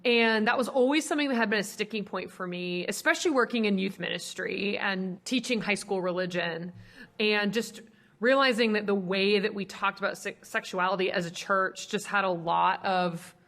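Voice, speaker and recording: Woman, young adult, American accent, medium pitch, reflective, conversational, interview guest. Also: moderate pace, a bit bright. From Minnesota, US. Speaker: podcast guest, ministry co-founder.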